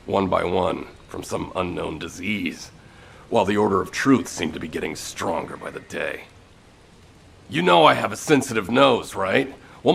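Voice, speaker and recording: A battle-worn game soldier, super gravelly and raspy, blunt squad leader type. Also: male voice, lowish voice, a bit bright, heavily acted. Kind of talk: scripted game dialogue.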